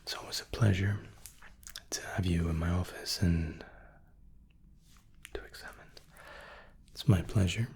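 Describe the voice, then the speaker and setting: A man gently whispering an ASMR medical roleplay as a reassuring, unhurried doctor. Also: sits low, steady tone, creaky, slight background noise. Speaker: ASMR roleplay host playing an examining doctor.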